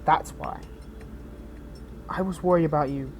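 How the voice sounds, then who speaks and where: A betrayed fallen hero played by a woman speaks in a lowish, very mellow voice, caring yet bitter toward a former sidekick. The delivery is intimate and earnest, broken by hesitant, dramatic pauses. It is an audio roleplay recording with clear noise behind the voice. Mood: worried, frustrated. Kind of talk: scripted audio roleplay addressed to the listener.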